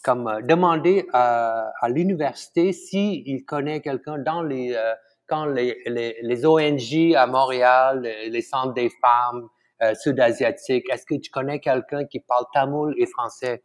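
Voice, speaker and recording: A middle-aged man from Malaysia with a Malaysian accent, whose first language is Tamil, speaking in an interview as a guest chef. He talks haltingly, with many fillers and restarts, and chuckles often. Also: medium pitch. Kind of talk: casual conversational interview.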